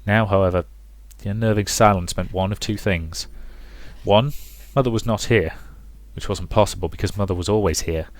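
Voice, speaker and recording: A man's voice: on the low side, moderate pace, no room sound. A man reading a visual novel aloud as dramatic story narration. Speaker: let's play narrator.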